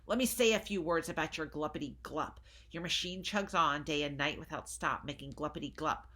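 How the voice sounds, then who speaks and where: A small male forest creature from a storybook scolds loudly and lectures indignantly, as a woman reading aloud puts him on. His voice sits low and sounds bright and forceful. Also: fairly quick. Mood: scolding.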